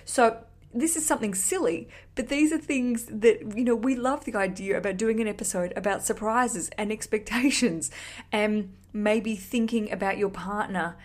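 A woman's voice: medium pitch, normal speed, darkish tone. A woman hosting a podcast, chatting casually and effusively to listeners in a cheeky, sweary, rambling way. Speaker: podcast host.

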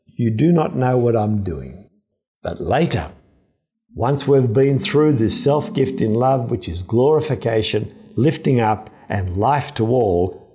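Male scripture teacher, low and very mellow, deliberate and emphatic, explaining a gospel passage. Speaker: priest and scripture professor giving a gospel reflection. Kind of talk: explanatory religious teaching, verse by verse.

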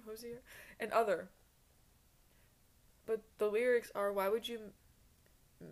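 A woman in her twenties hosting a solo podcast in a rambling, casual chat, blunt and self-deprecating, swearing freely. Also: mid-range voice. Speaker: podcast host.

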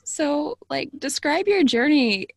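A woman's voice: sits high, lively intonation, takes it slowly, no room sound, studio quality. A woman hosting a podcast, an enthusiastic interviewer who laughs often in a casual chat. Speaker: podcast host.

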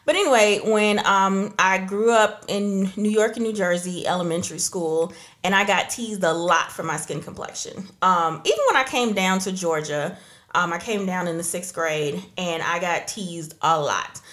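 A woman's voice: lowish voice, moderate pace, fairly bright tone. A woman with an American accent, chatting casually with friends as a podcast host. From Trinidad and Tobago, moved to the United States at four. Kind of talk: casual group chat.

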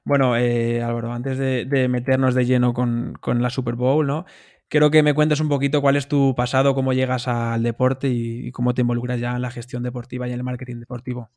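Male podcast host, flat steady tone, dry close sound. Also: medium pitch, fairly quick, dry recording. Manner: affirming listener, short backchannels. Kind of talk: podcast interview.

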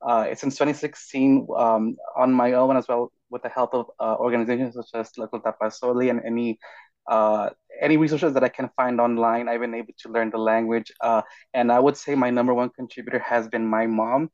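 A man raised bilingual in English and Spanish, giving measured, reflective answers as an interview guest. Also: mid-range voice, little pitch movement, a little mellow, no room sound. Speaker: podcast guest, language learner. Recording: dull, lo-fi voice recording.

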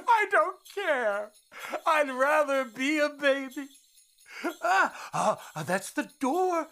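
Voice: high-pitched, sing-song, slow pace, bright, forceful tone, full character voice. An actor playing an old miser in a radio drama, stammering and exclaiming with great emotion. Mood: giddy joy. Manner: stammering, repeating words, pleading and exclaiming. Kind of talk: theatrical radio drama acting.

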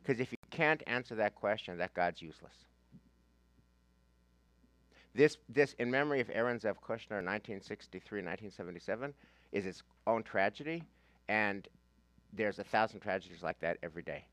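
A man's voice: lowish voice, lively intonation, moderate pace, pauses often, a bit bright, dry recording. A man with an American accent teaching a class as a rabbi, informal and emphatic, repeating phrases and posing rhetorical questions. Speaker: rabbi leading a Talmud class. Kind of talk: informal teaching talk with reading from a handout.

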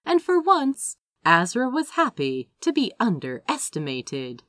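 A woman reading a story aloud as an audiobook, with expressive, theatrical scripted narration. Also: on the high side, a little slow, no room sound, studio quality. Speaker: audiobook reader.

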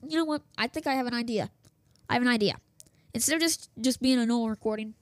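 A woman who hosts a small radio show talks in a rambling, casual way, full of fillers and restarts. Her voice is fairly high and getting worn as she loses it. The sound is dry and close, recorded at a desk in her home office. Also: quick pace, dry recording. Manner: chatty, self-interrupting, many fillers and restarts. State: losing her voice. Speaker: host of a school radio news show. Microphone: desktop setup with small soundboard.

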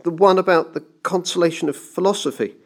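A timid clockmaker in a dramatised story, voiced by a male narrator. His voice sits well above a plain narrating pitch as he carefully pleads and placates, anxious to keep the peace. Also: fairly quick. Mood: earnest, coaxing. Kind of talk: dramatised audiobook reading.

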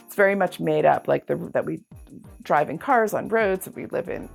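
A woman's voice: deep voice, expressive, moderate pace, vocal fry, faint music behind. A woman guest giving advice in casual interview talk, informally repeating and rephrasing for emphasis. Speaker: artist, podcast guest.

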